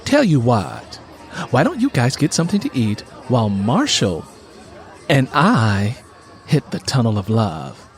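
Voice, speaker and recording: A flirty, sassy woman , as a male narrator puts her on, teases with sultry confidence. The storybook dialogue swings in pitch and is animated. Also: measured pace, some chatter behind. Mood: eager. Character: flirty, sassy wife playing seductress.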